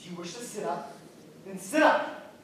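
A woman's voice: mid-range voice, animated, normal speed, natural voice. A woman playing a stern, commanding farmer and husband, using old-fashioned speech. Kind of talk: stage play performance. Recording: echoey, far-off stage audio with background noise.

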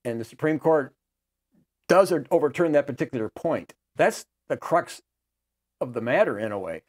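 An American man in his fifties hosting a casual interview, talking in a halting way as he searches for words. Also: fairly high pitch, lively intonation, darkish tone, breathy edge. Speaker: host. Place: small book-lined room indoors. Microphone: lapel mic.

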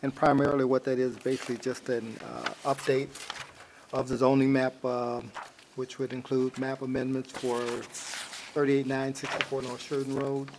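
An American man giving a halting committee report, often groping for words. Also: medium pitch, little pitch movement, noisy background. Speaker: village trustee, committee chair. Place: indoor village board meeting room.

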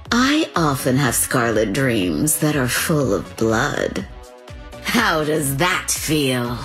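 Slow, low-voiced lethal female assassin. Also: a bit bright, exaggerated voice. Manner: haughty, menacing, slow and deliberate. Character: cold, seductive female assassin with a bloodlust. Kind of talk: video game character voice lines.

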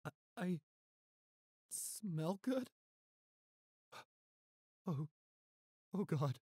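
A young man acting an anxious, devoted boyfriend in a roleplay audio, halting and stammering as he pleads. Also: high-pitched, animated, mellow, breathy edge, dry recording, clean studio sound, heavily acted. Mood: tender, pleading.

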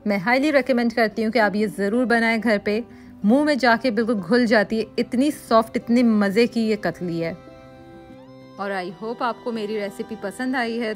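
Woman cooking host, fairly high voice, calm step-by-step voice-over, faint music underneath. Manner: calm, instructive, mixes English words into Hindi.

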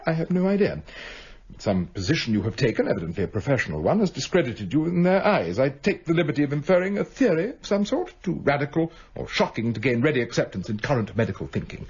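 An actor playing a brilliant, imperious male detective in a radio drama, speaking crisp, haughty with clipped deductions. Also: medium pitch, big pitch swings, brisk, muffled recording. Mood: lecturing. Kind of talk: BBC radio drama acting.

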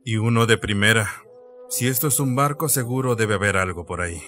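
A man's voice: lowish voice, a little fast, over loud music, lightly acted. A man voicing a cool, sceptical young sea cook in an anime fandub, blunt and nonchalant.

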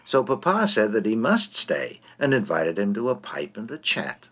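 A man's voice: fairly low pitch, huge pitch swings, moderate pace, fairly bright tone, breathy edge. A man narrating a comic short story in a droll, theatrical, precise manner.

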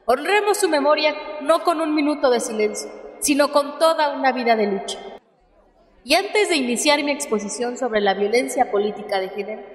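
A woman's voice: high-pitched, normal speed, lightly acted. A woman acting an impassioned activist leader giving a solemn, formal speech in a radio drama, with a Mexican accent. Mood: solemn, indignant. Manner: formal, declamatory. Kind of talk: radio drama political oratory. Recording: echoey hall reverb.

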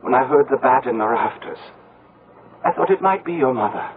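An actor playing a solemn, fearful, superstitious village man in a radio mystery, with a grave, deliberate delivery. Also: mid-range voice, expressive, natural voice. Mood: urgent, solemn. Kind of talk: 1970s radio mystery acting. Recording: muffled, narrow-band old radio broadcast.

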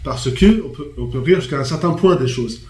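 Hoarse man with a cold, halting. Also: fairly high pitch, expressive, a little mellow. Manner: halting, many restarts. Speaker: panel guest. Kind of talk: casual studio discussion. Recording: echoey room, faint background noise.

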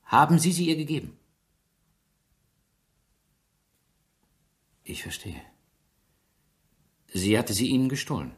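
A man plays a sharp, persistent police inspector in a radio drama. His pitch is mid-range but moves in a very lively way as he probes, sums up and presses methodically for answers. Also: moderate pace, lightly acted. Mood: probing. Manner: probing, pressing, methodical questioning.

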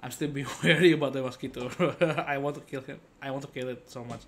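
A young man giving casual gameplay commentary as a streamer, with halting, repetitive phrasing. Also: medium pitch, steady tone, a bit bright. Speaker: game streamer. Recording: clear background noise, game ambience.

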